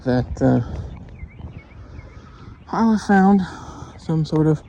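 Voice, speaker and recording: A man in his forties rambles casually into a vlog while walking outdoors. His delivery is slow and halting, with self-deprecating asides as he thinks aloud. The sound is dull and lo-fi, with clear street noise behind him. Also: a little high, expressive, warm tone. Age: 40s. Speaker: vlogger. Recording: dull phone audio, noisy street ambience with traffic.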